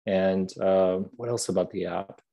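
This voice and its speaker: A man with a soothing, gentle voice, talking thoughtfully as a guest in a podcast interview. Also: deep voice, fairly even intonation, a little slow, gravelly, dull audio. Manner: gentle, soft-spoken, thoughtful. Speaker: app creator, podcast guest. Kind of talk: reflective interview conversation.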